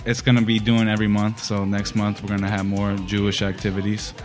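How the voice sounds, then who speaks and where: A man's voice: fairly low pitch, completely flat delivery, fairly quick, over music. A man of twenty-two, a comedian guest, joking with many hesitations. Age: 20s.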